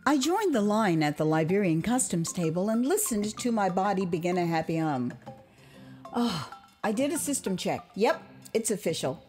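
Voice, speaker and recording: A woman from the United States narrating her own memoir as an audio drama, with expressive, theatrical delivery, acted asides and dramatic pauses. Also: mid-range voice, soft background music. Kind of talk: dramatized first-person storytelling.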